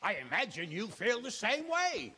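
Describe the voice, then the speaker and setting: High-shouting, gravelly noble knight. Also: male voice, wildly swooping pitch, normal speed, big-room sound, full character voice. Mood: firm, formal. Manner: declamatory, shouting across a distance, formal. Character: commanding male noble knight negotiating a siege. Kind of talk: cinematic video game acting.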